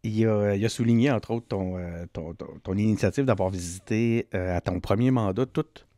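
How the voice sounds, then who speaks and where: A man's voice: fairly low pitch, moderate pace, warm tone, dry recording. Informal Quebec male interviewer, dry sound. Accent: Quebec French. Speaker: interviewer, host. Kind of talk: casual chatty interview.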